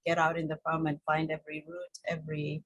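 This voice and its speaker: A low-voiced woman with a Kenyan accent shares her story in an interview. Her voice is husky and recorded close and dry. She talks informally and haltingly, circling back with frequent "you know". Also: completely flat delivery, no echo, rough recording. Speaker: interview guest, holistic health coach.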